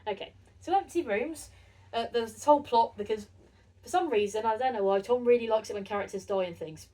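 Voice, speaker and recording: A woman's voice: fairly high pitch, brisk, dark tone, far from the mic. A woman co-hosting a casual chat, dry, blunt and teasing. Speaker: co-host.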